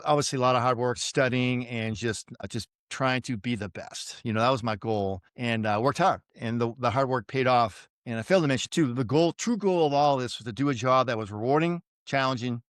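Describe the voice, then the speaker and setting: Boston man in his fifties, smooth and dry-sounding, relaxed storyteller full of ums and asides. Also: medium pitch, a little fast, hardly any pauses, no room sound. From suburban Boston, USA. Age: 50s. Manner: easygoing, self-deprecating, many fillers. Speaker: podcast guest, retired Navy pilot. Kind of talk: casual interview storytelling.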